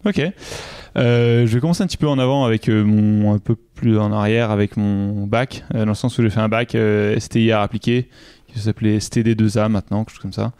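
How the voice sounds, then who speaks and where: A man's voice: lowish voice, steady tone, a little fast, dark tone, clean tone, no room sound, clean studio sound. A young man from Normandy, a UX designer, answering interview questions in a casual, hesitant way with many fillers and restarts. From Normandy, France. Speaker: UX designer guest.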